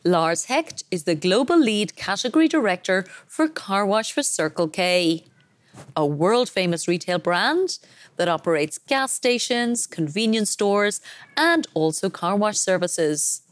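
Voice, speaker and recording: A woman's voice: mid-range voice, animated, a little slow, no echo. A woman hosting a podcast, reading a polished, enthusiastic intro and then interviewing her guest. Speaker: podcast host.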